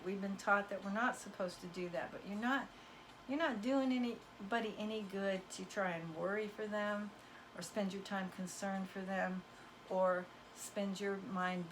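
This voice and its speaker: Woman losing her voice, preachy folksy card reader talking to her viewers, mid pitch. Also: background noise. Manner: emphatic, preachy, folksy with "y'all". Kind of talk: casual motivational talk to viewers.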